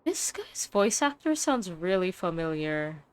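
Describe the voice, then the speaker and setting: A woman's voice: on the low side, sing-song, a little slow, clean tone. A woman streamer giving casual gameplay commentary, thinking aloud as she plays. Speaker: gaming streamer. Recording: loud game music behind.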